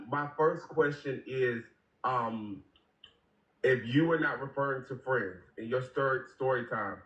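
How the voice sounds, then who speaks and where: A man on a live panel asking a pastor a question, hesitating and halting as he speaks. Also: medium pitch, fairly bright tone. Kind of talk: casual questioning. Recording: roomy distant mic.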